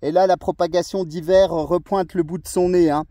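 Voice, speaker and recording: High-pitched Frenchman chatting on radio. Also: steady tone, normal speed, mellow, no room sound, clean studio sound. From France. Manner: chatty, friendly, tag words at phrase ends. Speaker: amateur radio operator. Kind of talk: casual radio contact chat.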